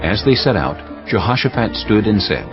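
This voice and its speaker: A man reading scripture as an audiobook narrator in a solemn, measured, scripted style. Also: fairly low pitch, big pitch swings, muffled recording, music in the background.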